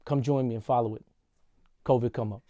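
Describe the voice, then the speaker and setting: Laid-back man vlogging, warm voice. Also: medium pitch, brisk, no echo. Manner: relaxed, conversational, says "y'all" often. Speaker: podcast host and referee. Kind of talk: casual vlog monologue.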